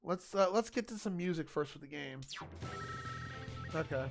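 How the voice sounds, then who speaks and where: A man streaming a video game, talking in a loud, rambling, chatty way to his viewers. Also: a little high, expressive, normal speed, gravelly, faint music behind. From Italian family. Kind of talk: casual gaming livestream chat.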